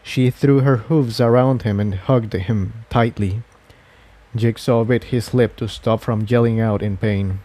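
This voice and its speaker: A man reading scripted story narration as a fan fiction narrator, in a measured manner. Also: fairly low pitch, fairly even intonation, warm tone.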